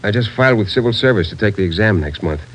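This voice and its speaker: A man's voice: lowish voice, expressive, quick pace, natural voice, poor audio. An actor in his thirties playing a friendly married man who wants to join the police, in a 1950s radio drama. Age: 30s. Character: friendly 30-year-old married man wanting to be a cop. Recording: old radio recording with faint hiss.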